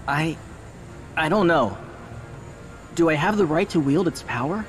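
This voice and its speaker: An actor playing an earnest, self-doubting young robot hero in a video game, with a hesitant, intense, sincere delivery. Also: male voice, on the high side, expressive, measured pace, soft background music. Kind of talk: video game cutscene acting.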